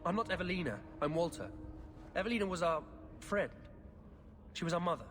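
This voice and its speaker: A man's voice: high voice, lively intonation, fairly quick, fairly bright tone, soft background music. A man playing a defiant, earnest young orphan boy in a fantasy game. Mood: defiant, pleading. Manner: earnest, defensive. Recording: long reverb, clear background ambience.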